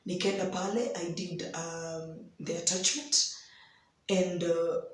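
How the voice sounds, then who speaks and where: A young Kenyan woman with a hoarse voice tells a personal story in an unhurried devotional talk, slipping in Swahili phrases. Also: lowish voice, fairly even intonation. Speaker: host of a daily devotional. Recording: very echoey room.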